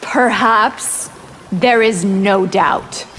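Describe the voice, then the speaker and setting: A woman's voice: mid-range voice, drawn-out words, rough recording. An actress playing a haughty, menacing winged vampire woman in a fighting video game. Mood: proud. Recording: loud music behind the voice.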